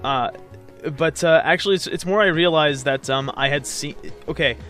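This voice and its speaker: A man's voice: a little high, moderate pace, fairly bright tone, soft background music. A man giving casual, hesitant, rambling commentary as he hosts a video game playthrough. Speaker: let's play host.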